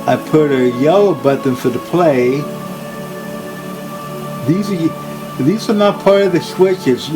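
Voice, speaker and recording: An older man casually showing equipment unscripted, plain-spoken and halting, with phrases that trail off. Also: a little high, music in the background, rough recording. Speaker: collector presenting his tape machines. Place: indoor room full of audio gear.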